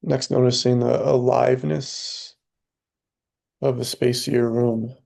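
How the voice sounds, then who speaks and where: A man leading a guided meditation, speaking calmly in short phrases with long pauses between them. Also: medium pitch, creaky. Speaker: meditation teacher.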